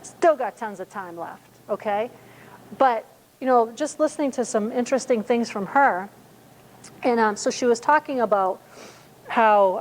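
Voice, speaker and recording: A woman's voice: medium pitch, a little hiss or hum, poor audio. A woman in her fifties preaching a sermon in a conversational way, with many fillers and restarts. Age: 50s. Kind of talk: sermon at a church service.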